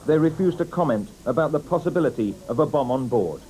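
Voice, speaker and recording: A man with a British accent narrating a scripted news report in a sober, measured manner. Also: on the high side, expressive, warm tone, a little hiss or hum, low-quality recording. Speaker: news correspondent.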